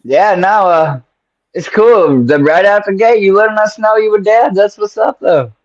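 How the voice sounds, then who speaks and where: A man hosts a casual call-in interview in a relaxed, rambling, slang-heavy way. His voice sits a little high. The audio is dull and lo-fi. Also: normal speed. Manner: laid-back, slangy, rambling. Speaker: interview host. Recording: dull lo-fi sound.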